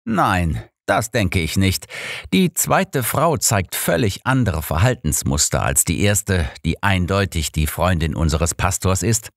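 A man's voice: low-pitched, very animated, measured pace, gravelly, no room sound, clean studio sound, exaggerated voice. A man reading an audiobook, voicing a shrewd, assured old master detective who speaks formally and analytically. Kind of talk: audiobook character dialogue.

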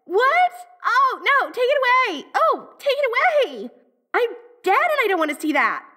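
A woman's voice: very high-pitched, sing-song, measured pace, pushed and bright. A woman playing a dorky tsundere ghost girl from the eighties in an audio roleplay, with an American valley-girl accent and a gushing, hesitant, slangy manner. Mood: horrified. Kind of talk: audio roleplay acting, speaking directly to the listener.

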